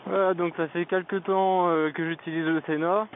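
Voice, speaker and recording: A man's voice: high-pitched, little pitch movement, normal speed. A man giving a casual, unscripted product review as a vlogger, hesitant and often searching for words, speaking into a helmet headset microphone. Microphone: Bluetooth motorcycle helmet headset microphone. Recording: tinny narrow-band helmet intercom mic, background noise.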